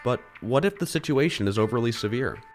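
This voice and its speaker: A man playing a rich high school boy in an audio drama, earnest and questioning in his manner. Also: animated, fairly quick, soft background music, lightly acted. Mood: troubled, questioning. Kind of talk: amateur audio drama acting.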